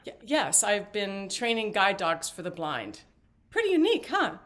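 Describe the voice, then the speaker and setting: A woman acting a talkative, evasive and devoted mother in a stage play, with a halting, theatrical delivery. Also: mid-range voice, wildly swooping pitch, fairly bright tone. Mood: evasive, hesitant. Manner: halting, rambling, theatrical emphasis. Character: talkative, evasive mother and grandmother, fiercely devoted, just paroled.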